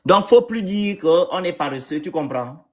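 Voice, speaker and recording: A man's voice: high voice, animated, unhurried. A man casually hosting a live call-in panel. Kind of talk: casual moderating of a live discussion.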